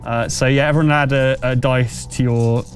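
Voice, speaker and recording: A man narrating a tabletop roleplaying game as game master, informal, halting and jokey. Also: mid-range voice, fairly even intonation, faint music behind.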